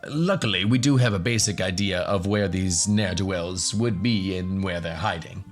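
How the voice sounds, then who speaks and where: A man's voice: deep voice, moderate pace, soft background music, lightly acted. A man playing a courteous professor in a fantasy radio story, polite, slightly hesitant and explanatory. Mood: earnest, explanatory. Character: courteous male professor hosting a band of adventurers.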